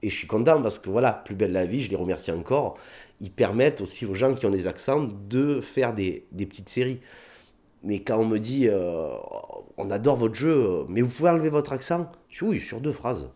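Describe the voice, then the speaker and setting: A man's voice: lowish voice, moderate pace. A man with a southern French accent, a comedian telling anecdotes in a casual interview, acting out bits of dialogue. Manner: animated anecdotes with put-on dialogue, many fillers.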